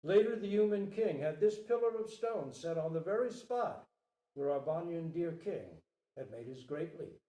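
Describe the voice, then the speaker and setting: A man speaking as a storyteller and teacher, with a theatrical, measured delivery. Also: fairly high pitch, expressive, dark tone. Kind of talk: dramatic story reading and spoken teaching. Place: talk at a Zen center retreat. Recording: roomy echo, distant microphone.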